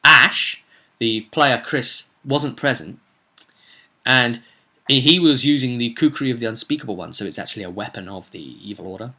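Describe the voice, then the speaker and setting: A man, a game master, casually recounting a tabletop roleplay session in an animated, conversational way. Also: mid-range voice, normal speed. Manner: animated storyteller, conversational asides and tag questions.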